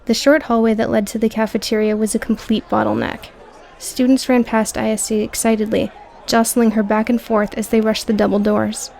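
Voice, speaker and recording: A woman's voice: fairly high pitch, little pitch movement, normal speed, gravelly, darkish tone, some chatter behind. A woman reading scripted audio book narration as the narrator.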